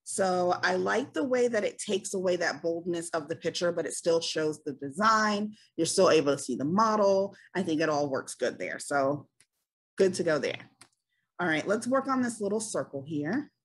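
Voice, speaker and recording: A woman's voice: fairly low pitch, lively intonation, moderate pace, breathy edge. A woman talking through a casual screen-share tutorial as a business coach, chatty with many ums, her voice tired and a bit scratchy.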